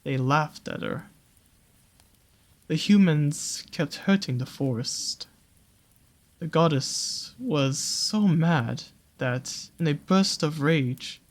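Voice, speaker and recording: A voice actor playing a sly, possessive naga monster in an intimate roleplay, speaking in an unhurried, soothing, coaxing way with doting pet names. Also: mid-range voice. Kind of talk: slow intimate roleplay audio with storytelling.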